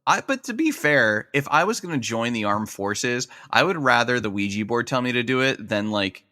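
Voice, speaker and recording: A man's voice: mid-range voice, animated, normal speed, bright, forceful tone. A man co-hosting a podcast with casual, wisecracking banter. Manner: joking, wisecracking. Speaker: podcast co-host.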